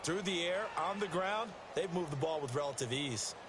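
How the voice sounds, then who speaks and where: Guy playing american football analyst, folksy and chatty, pretty high voice, tons of game noise behind him. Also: expressive, moderate pace, close to an everyday voice. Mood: reflective, explanatory. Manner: conversational, explanatory. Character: folksy, explanatory male football analyst, ex-coach. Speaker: color commentator. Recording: very noisy game stream, stadium ambience.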